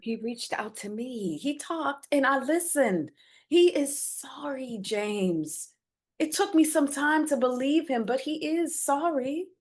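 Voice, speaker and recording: A woman's voice: sits high, animated, takes it slowly. A woman playing an earnest, hopeful bereaved mother in a dramatic script reading, pleading and placating yet firm as she argues for forgiveness.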